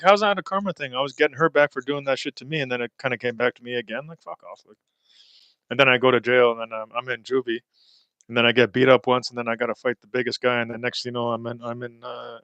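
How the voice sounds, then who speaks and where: A man's voice: medium pitch, fairly even intonation, fast, pushed and bright, smooth, no echo, lo-fi sound. A man hosting a casual home podcast, rambling, swearing often and trailing off mid-sentence. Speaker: podcast host. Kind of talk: casual chat. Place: indoors at his home.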